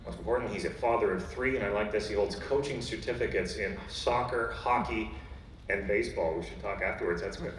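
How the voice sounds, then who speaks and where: A man's voice: on the low side, fairly quick. A man acting as emcee, introducing the next speaker in a friendly way at a conference. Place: conference hall. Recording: echoey hall, distant mic, faint noise.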